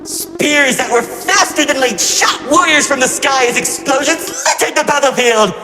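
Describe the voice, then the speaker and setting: A man's voice: very high voice, normal speed. A man voicing a gleefully cruel trickster villain in a game, telling tales of war in a mocking, flippant, melodramatic way. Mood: dramatic storytelling. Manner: mocking, flippant, melodramatic, swinging between grandeur and glee. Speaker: narrator villain. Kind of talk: theatrical storytelling narration. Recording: echoey hall reverb over music. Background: music.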